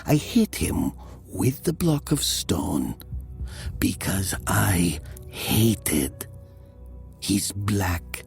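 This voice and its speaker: An actor playing a haunted, guilt-ridden killer, narrating his confession in a brooding, deliberate, sometimes hushed manner. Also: sits low, creaky, full character voice. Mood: grim confession. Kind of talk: dramatic first-person literary narration. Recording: music behind the voice. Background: music.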